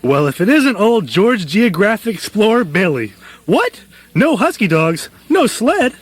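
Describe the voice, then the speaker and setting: A performer playing a hearty, teasing young college-man brother in a comedic radio play, with a husky, rough-edged voice. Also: mid-range voice, big pitch swings, measured pace, dry recording.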